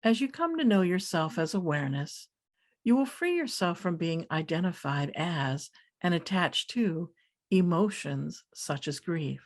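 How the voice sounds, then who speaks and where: A woman leading a guided meditation as a teacher, speaking calmly in deliberate phrases with pauses. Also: fairly low pitch.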